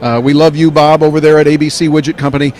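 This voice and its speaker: A man's voice: fairly high pitch, flat delivery, fast talker, darkish tone. A male business reporter interviewing a guest at a trade show in an upbeat, motivational way.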